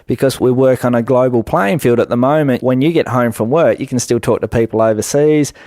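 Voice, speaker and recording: A man's voice: mid-range voice, fairly quick, darkish tone, no echo. A man with a mid-range voice gives explanatory answers as an interview guest. The sound is dry, with very little room around it. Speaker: guest business expert.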